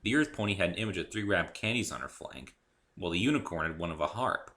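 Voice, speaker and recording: Expressive man narrating, very bright forceful voice. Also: sits low, fast talker, a little hiss or hum. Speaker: amateur narrator reading own story. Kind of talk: dramatic first-person story narration.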